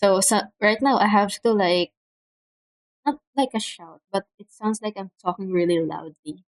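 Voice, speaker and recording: A young woman from the Philippines, soft-spoken and hesitant, chatting casually on a home livestream through a cheap mic. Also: medium pitch, moderate pace. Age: young adult. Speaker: streamer. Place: home room. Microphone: cheap desk mic.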